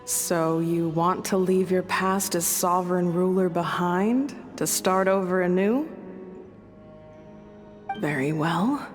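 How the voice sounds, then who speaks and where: A woman playing a composed, authoritative game character, speaking deliberately as if weighing each word. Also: on the low side. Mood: measured, accepting. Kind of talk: scripted game dialogue. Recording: hall-like reverb, faint music.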